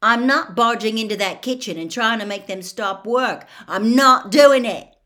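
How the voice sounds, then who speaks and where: An insistent storybook schoolgirl, acted by a woman, speaks in a very high, lively voice. She is eager and bossy, urgent and quick to get impatient. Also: full character voice. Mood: defensive impatience.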